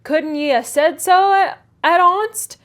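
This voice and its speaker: A woman's voice: very high voice, slow pace, fairly bright tone, pitched above the performer's own voice, heavily acted. A woman voicing a grumbling, sneering old male servant, drawling a thick rustic dialect in a storybook reading. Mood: cranky.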